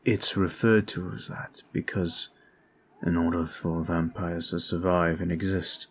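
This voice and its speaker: A man playing a calm, courteous, protective vampire in an ASMR roleplay, soft-spoken and halting, with formal phrasing. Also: low voice, husky, full character voice. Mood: grave, confiding. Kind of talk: ASMR roleplay audio drama.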